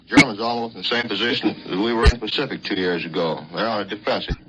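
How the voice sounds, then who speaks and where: Male army pilot, American accent, lowish voice, matter-of-fact, 1940s radio interview, dull sound over loud crowd noise. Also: fairly even intonation, fast. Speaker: army fighter pilot, colonel being interviewed. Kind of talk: 1940s radio interview, recounting war experience. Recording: muffled vintage radio with loud crowd behind.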